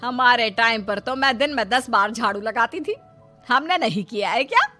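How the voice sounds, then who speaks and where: Shrill, nagging old mother-in-law. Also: expressive, moderate pace, sharp tone, soft background music. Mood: taunting. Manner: shrill, taunting, self-righteous scolding. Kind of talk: animated moral-story voice acting.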